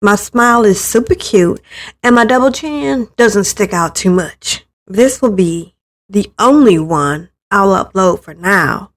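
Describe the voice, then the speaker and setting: A woman with a medium-pitched voice reads a story aloud in the first person. Her delivery is conversational and expressive, and she acts out the lines as she goes. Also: a little slow. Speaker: visual novel player reading aloud.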